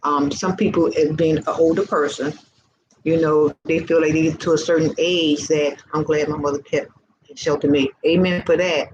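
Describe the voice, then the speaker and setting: A woman's voice: deep voice, steady tone, normal speed, a little mellow, muffled recording. A woman in her early sixties giving fervent, repetitive faith encouragement in an informal vlog. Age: 60s. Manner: fervent and repetitive, preacher-like exhortation. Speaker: faith vlogger. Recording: noisy background hiss.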